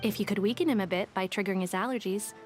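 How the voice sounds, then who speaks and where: A woman playing a composed, dutiful investigator in a video game, speaking in a measured, formal, matter-of-fact way. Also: mid-range voice, lightly acted. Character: composed, dutiful female investigator telling a cautionary tale. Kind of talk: scripted video game dialogue. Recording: game music behind the voice.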